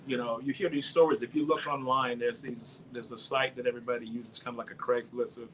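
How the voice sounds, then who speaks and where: A man's voice: medium pitch, flat delivery, fairly quick, poor audio. A man speaking as a consultant guest, telling anecdotes casually in short, plain phrases. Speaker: guest, international business consultant. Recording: faint background ambient noise.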